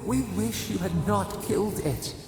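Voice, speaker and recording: An actor of unclear sex voicing an ancient, mournful nature spirit in a video game, speaking in solemn, cryptic riddles with a creaky voice. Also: mid-range voice, roomy sound, over music. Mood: grieving, solemn. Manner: slow, solemn, poetic and riddling.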